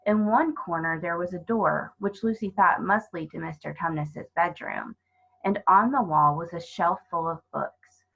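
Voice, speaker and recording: A woman narrating a children's storybook as a reader, in an expressive scripted style. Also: fairly low pitch, unhurried, vocal fry, dull audio.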